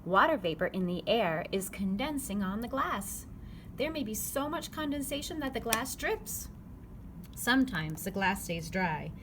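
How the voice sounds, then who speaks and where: A woman reading a children's picture book aloud, phrasing it clearly and simply for young listeners. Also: mid-range voice, very animated, measured pace. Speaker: read-aloud narrator. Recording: clearly noisy background ambience.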